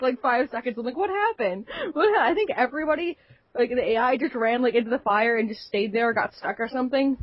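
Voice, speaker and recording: Woman on a gaming podcast, kinda high voice, rambles with lots of likes, muffled mic. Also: no echo. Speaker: podcast co-host. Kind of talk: casual gaming chat. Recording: dull lo-fi sound.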